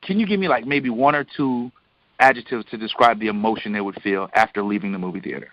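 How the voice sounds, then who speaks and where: A man's voice: fairly low pitch, fast, no room sound. A man interviewing conversationally over the phone, emphatic and repeating phrases as he builds his point. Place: phone call. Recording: dull lo-fi phone line.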